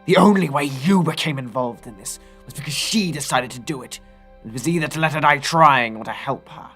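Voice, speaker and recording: A narrator voicing a cold, cruel male master of assassins in an audiobook, speaking with deliberate, threatening control. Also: medium pitch, soft background music, voice pushed down, lightly acted. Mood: growling anger. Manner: soft, deliberate, threatening, at times growling.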